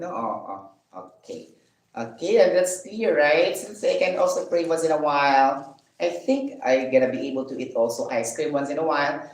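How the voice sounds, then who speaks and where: A man's voice: fairly high pitch, reverberant, rough recording. A man chatting casually in a vlog while he eats, rambling with fillers, asking himself questions and laughing. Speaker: vlogger.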